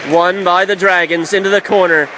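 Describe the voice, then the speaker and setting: A man's voice: high-pitched, fairly even intonation, moderate pace, pushed and bright. A man doing live radio play-by-play of ice hockey in clipped, vivid phrases from a noisy arena. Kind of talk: live sports commentary. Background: clear arena background noise.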